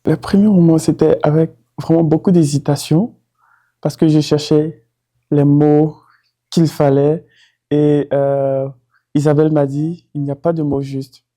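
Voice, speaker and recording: A man's voice: fairly high pitch, little pitch movement, a little slow, mellow. A man from Côte d'Ivoire, a former doctor now studying, giving a personal testimony with hesitant speech and frequent restarts.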